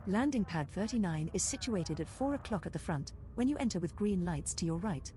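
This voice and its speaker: A woman's voice: fairly low pitch, lively intonation, fairly quick, mellow, close to an everyday voice. A woman voicing a composed, drily helpful spaceship computer in a game, making formal, precise announcements. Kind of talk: scripted game voice-pack announcements. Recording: game audio under clear engine noise.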